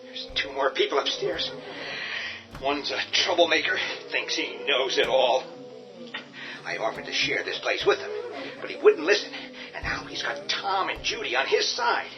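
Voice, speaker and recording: An actor playing a cowardly, whining middle-aged husband in a radio drama, sarcastic and panicky. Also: male voice, medium pitch, sing-song, moderate pace. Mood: bitter. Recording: dull lo-fi audio with music.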